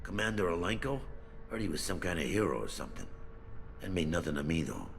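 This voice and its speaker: An actor playing a rattled, resentful colony mechanic in a video game, suspicious and grumbling. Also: male voice, low-pitched, sing-song, fast, creaky, in a large hall, a little hiss or hum, heavily acted. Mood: bitter, suspicious. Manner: grumbling, agitated.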